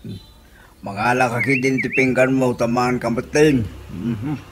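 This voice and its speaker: A man's voice: mid-range voice, measured pace, natural voice. An actor playing a stingy, selfish husband and father in a comedy radio drama, speaking with an Ilocano accent. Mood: gruff, casual. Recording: noisy radio drama recording.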